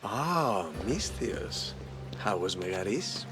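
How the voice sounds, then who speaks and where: An actor playing a suave, theatrical schemer who taunts pompously in a video game. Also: male voice, fairly low pitch, very animated, takes it slowly, very noisy. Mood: smug, amused. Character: suave, theatrical, mocking villain, male schemer. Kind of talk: video game cutscene acting. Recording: background music under the voice.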